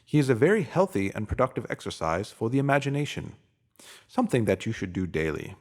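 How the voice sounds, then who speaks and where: A man's voice: mid-range voice, very animated, fast talker, a little mellow. A man narrating a motivational spiritual essay aloud in an earnest, instructive manner.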